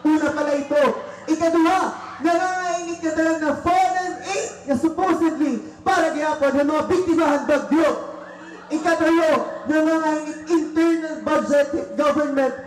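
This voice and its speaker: Young male Filipino activist, Waray accent, strikingly high voice, impassioned speech in echoey sound. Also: fairly even intonation, moderate pace. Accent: Filipino, Waray region. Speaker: student protest speaker. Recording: echoey rally recording.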